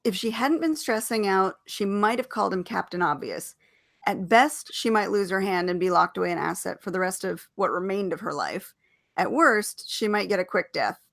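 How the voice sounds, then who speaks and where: A woman reading a story aloud as an expressive narrator. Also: mid-range voice, moderate pace, gravelly.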